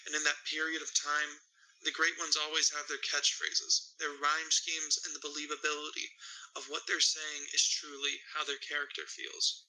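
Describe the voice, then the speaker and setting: Flat, very bright-voiced man. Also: fairly high pitch, poor audio. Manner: deliberate, emotionless delivery. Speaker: guest wrestling fan explaining promos. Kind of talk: scripted explanatory monologue.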